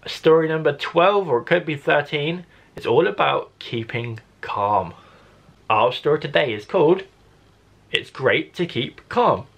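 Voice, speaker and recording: A man who works as a primary school teacher, reading a story expressively to children with deliberate phrasing. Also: a little high, expressive, vocal fry, slightly rough, muffled recording.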